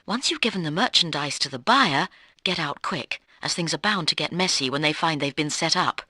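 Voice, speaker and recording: A woman playing a brisk spy team colleague who gives instructive, authoritative mission briefings in a video game. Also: sits low, expressive, bright, forceful tone, no room sound, studio quality, full character voice.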